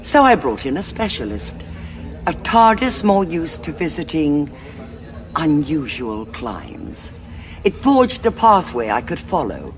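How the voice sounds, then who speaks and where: An actress playing an authoritative female cardinal who speaks in a cool, measured way. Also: deep voice, wildly swooping pitch, faint music behind, heavily acted. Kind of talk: audio drama acting.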